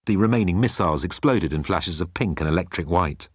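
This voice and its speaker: A man reading comic science fiction as an expressive audiobook narrator. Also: sits low, fairly quick, slightly rough, no room sound, clean studio sound.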